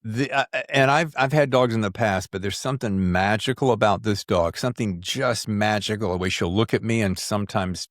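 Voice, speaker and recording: A man's voice: on the low side, normal speed, hardly any pauses, no room sound, studio quality. A man hosting a casual, chatty interview, rambling and restarting himself, with jokey, salty, informal slang. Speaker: podcast host, self-published writer.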